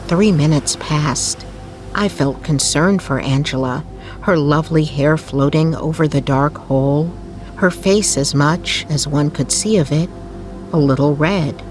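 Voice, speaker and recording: A woman reading a bedtime story as narrator, in a soothing, measured, literary delivery. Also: low voice.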